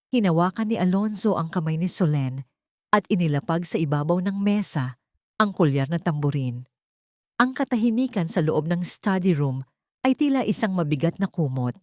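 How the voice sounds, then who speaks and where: A woman's voice: on the low side, a little mellow, no echo, studio quality. A woman reading a scripted dramatic story as an audiobook narrator.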